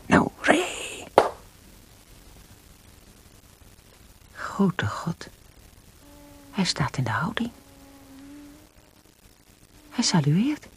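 A bitter, grieving young woman in a radio play, brooding in a hushed inner monologue. Her voice is creaky and slow, swinging widely in pitch, with faint noise and insects behind. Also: full character voice. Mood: hushed, stunned. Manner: dramatic, often hushed and whispering. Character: bitter, grieving young married woman interned in wartime camp. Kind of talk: radio drama interior monologue.

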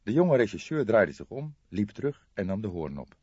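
A male audiobook narrator reads dramatically in a lowish voice with very mobile intonation. The sound is dry and slightly dull. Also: big pitch swings, normal speed, mellow, breathy edge, no room sound.

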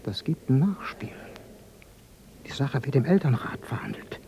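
An actor playing a village man who passes on gossip in a hushed, confiding way. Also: medium pitch, expressive, moderate pace, vocal fry, in a whisper.

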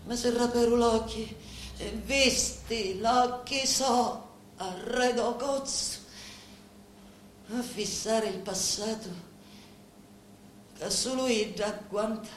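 An actress playing a grave Sicilian woman confiding in a mafia boss, in a slow, deliberate theatrical monologue with a Sicilian accent. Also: on the high side. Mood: resigned. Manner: slow, deliberate, brooding storytelling. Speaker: stage actress in a radio drama. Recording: roomy echo, noisy background.

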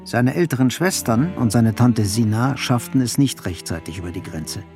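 A male narrator tells a literary story for a radio play. His voice is mid-pitched and creaky, with faint music behind it. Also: animated, normal speed, mellow.

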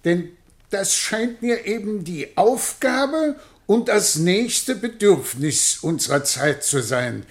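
An actor playing a vain, long-winded poet in a German radio play, with an affected, self-satisfied manner. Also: male voice, sits high, full character voice.

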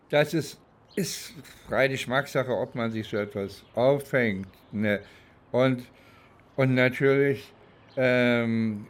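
Slow-talking man, deliberate and hesitant. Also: mid-range voice. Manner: hesitant, deliberate, searching for words. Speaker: private detective. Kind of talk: reflective documentary-style monologue.

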